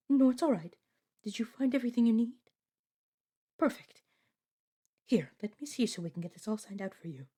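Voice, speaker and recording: A woman playing a soft-spoken, helpful clerk in an audio roleplay. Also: medium pitch, breathy edge. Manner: polite, hushed, helpful. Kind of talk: narrative audio roleplay acting.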